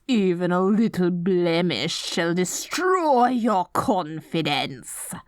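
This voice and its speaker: A woman's voice: lowish voice, no echo, studio quality, exaggerated voice. A woman playing a haughty, dorky evil queen in a scripted ASMR roleplay, swinging between grandiose proclamations and flustered stammering. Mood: gloating, imperious. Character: dorky, theatrical evil queen, haughty yet secretly smitten.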